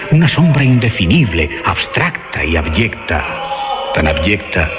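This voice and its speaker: A man narrating a horror radio drama theatrically, with ominous, dramatic emphasis and pauses. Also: fairly low pitch. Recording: dull lo-fi radio sound. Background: music.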